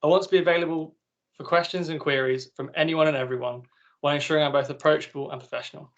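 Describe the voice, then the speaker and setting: A man's voice: on the high side, steady tone, fast talker, lo-fi sound. A young man delivering a formal, prepared campaign speech as a student election candidate. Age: young adult.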